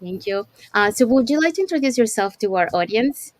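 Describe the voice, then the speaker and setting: A woman's voice: on the high side, expressive, a little fast, no room sound. A woman from Panama hosting a casual online livestream over a video call, with frequent ums and restarts. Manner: many ums and uhs, restarts. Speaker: host.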